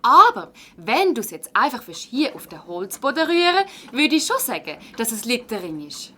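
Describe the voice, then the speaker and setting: A woman's voice: on the high side, very animated, normal speed, bright, forceful tone. Swiss german lady teacher, encouraging but orderly, sing-song range, kids audio drama. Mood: encouraging, explanatory. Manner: instructive, orderly, addressing a class. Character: brisk, encouraging female primary school teacher. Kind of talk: children's radio play acting.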